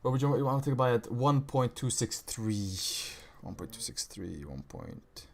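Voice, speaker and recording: A man's voice: mid-range voice, big pitch swings, normal speed, a little hiss or hum. Young man with an American-sounding accent. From Kosovo. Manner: casual, slangy, says "bro" and "right?" often. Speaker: forex trading streamer. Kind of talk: casual live-stream chart commentary.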